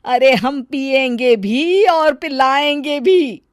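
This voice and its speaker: A woman's voice: sits high, takes it slowly, dry recording, clean studio sound. A woman playing an offended, bossy middle-aged mother-in-law in a Hindi family story, sarcastic and commanding.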